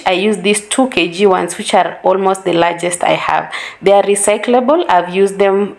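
A woman's voice: fairly low pitch, vocal fry. A woman with a Kenyan English accent explains, step by step, how to clean fish in her home kitchen, casually and instructively, repeating her advice for emphasis. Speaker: home cooking vlogger.

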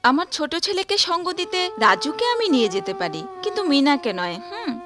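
A brisk cartoon landlady from the city. Her matter-of-fact speech is very high and lively, with music behind it. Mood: businesslike.

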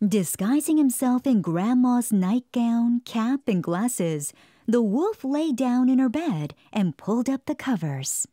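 A woman storyteller reading a scripted children's bedtime story in an expressive, dramatic way. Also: a little high, takes it slowly, dry recording, studio quality. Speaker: storyteller, narrator. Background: music.

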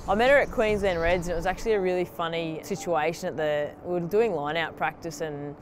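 A woman's voice: on the low side, fairly quick, faint music behind. A woman in her thirties telling a funny training anecdote in a documentary interview. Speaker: rugby teammate.

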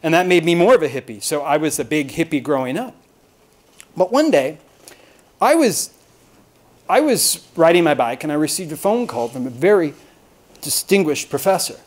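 Higher-pitched American man giving an informal talk. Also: animated, normal speed, long pauses. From Austin, Texas, United States. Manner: self-deprecating, rambling, jokey storytelling. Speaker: university lecturer giving a talk. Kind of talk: informal humorous lecture to colleagues.

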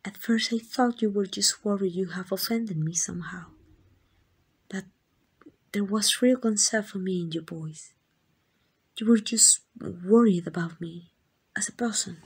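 A woman with a Mexican accent playing a tender, devoted queen in a romantic ASMR roleplay, speaking in gentle, intimate, breathy whispers. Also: medium pitch, a little slow, pauses often. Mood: tender, wistful. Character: tender, devoted queen in secret love with a commoner.

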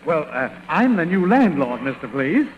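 A man's voice: fairly high pitch, sing-song, moderate pace, big-room sound, dull audio, a little hiss or hum. A man playing an eager, polite, flustered landlord in a 1930s radio comedy, on an old recording. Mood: flustered, pleading.

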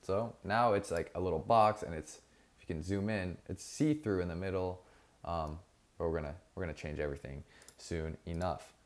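A man narrating a screen-recorded coding tutorial in a casual, relaxed way, with frequent fillers and self-corrections. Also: low voice, moderate pace. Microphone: voice-over over screen recording.

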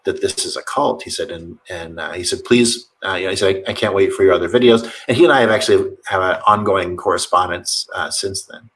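Earnest low-voiced pastor rambling on a call. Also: male voice, brisk, hardly any pauses, fairly bright tone, rough recording. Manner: earnest, rambling with many restarts. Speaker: pastor, guest. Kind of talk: video call conversation. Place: home office with bookshelves.

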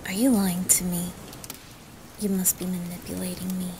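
A woman voicing a guarded teenage boy in a soft, breathy ASMR roleplay, speaking in a hushed, halting way. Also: lowish voice, flat delivery, background noise, lightly acted. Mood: suspicious. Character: wary, guarded teenage boy who softens into apology. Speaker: roleplay voice performer. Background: rain and thunder.

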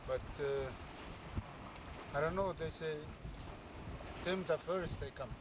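An older man, a master navigator whose first language is Chuukese, speaking haltingly in English in a casual chat on a sailboat at sea. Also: on the high side. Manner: halting, with frequent pauses and fillers. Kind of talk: casual conversation. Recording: loud wind and sea noise.

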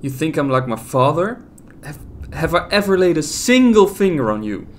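A man's voice: fairly high pitch, sing-song, normal speed. An actor playing a wounded, defensive young man, a runaway boyfriend in an audio drama. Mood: bitter, defensive. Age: young adult. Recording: faint noise with ambience behind.

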